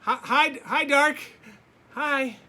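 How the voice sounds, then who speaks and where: Timid storybook little boy, played by a male reader: high, bright voice, hesitant, stammering repeats. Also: takes it slowly, exaggerated voice. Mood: timid greeting.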